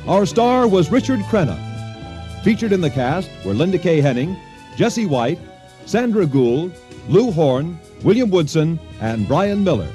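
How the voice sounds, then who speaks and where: Mellow, animated male radio announcer. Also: fairly high pitch. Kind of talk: scripted radio credits announcement. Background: music.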